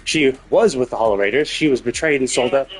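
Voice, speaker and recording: Male streamer, medium pitch, casual and occasionally profane, musing aloud over quiet background music. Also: quick pace. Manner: speculative, thinking out loud. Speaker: game livestreamer. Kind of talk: casual live game commentary.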